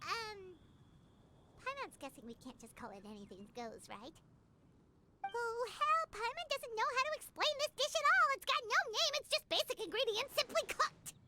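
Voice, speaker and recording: A woman voicing a tiny, childlike fairy game companion, squeaky and chatty, who talks about herself in the third person. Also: very animated, normal speed, bright, forceful tone, slight background noise, heavily acted. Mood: puzzled. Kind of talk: video game character acting.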